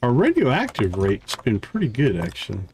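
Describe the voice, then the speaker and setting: A man's voice: medium pitch, wildly swooping pitch, moderate pace, a little mellow. Guy streaming a game, playful, voice bounces around a lot, bit of clicky noise behind him. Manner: playful, talks to himself, chants little sound words. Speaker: livestreamer playing a video game. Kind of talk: casual gameplay commentary.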